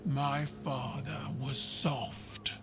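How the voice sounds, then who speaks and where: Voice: medium pitch, steady tone, drawn-out words. A performer voicing a proud, imperious alien warrior empress in a video game, commanding and formal. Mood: imperious, threatening. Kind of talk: dramatic game cutscene dialogue. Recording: clear cinematic music underneath.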